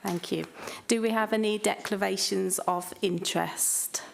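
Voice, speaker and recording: A woman's voice: medium pitch, fairly even intonation, unhurried, gravelly, a little hiss or hum. Hesitant woman chairing a council meeting. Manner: hesitant, many fillers. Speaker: committee chair. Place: council chamber.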